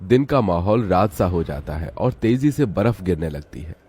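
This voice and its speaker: A man's voice: deep voice, expressive, moderate pace, a little mellow, slightly rough. A man reading scripted storybook narration for a children's cartoon.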